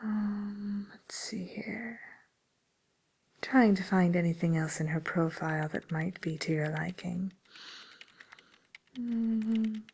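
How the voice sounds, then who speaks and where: Woman playing a slow, whispering villain matchmaker. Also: medium pitch, heavily acted. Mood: politely helpful. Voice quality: breathy, hushed whisper. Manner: gentle, hesitant, courteous customer-service delivery. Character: polite, attentive female matchmaker for wicked villains. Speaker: ASMR roleplay performer. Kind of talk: whispered ASMR roleplay. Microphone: close microphone.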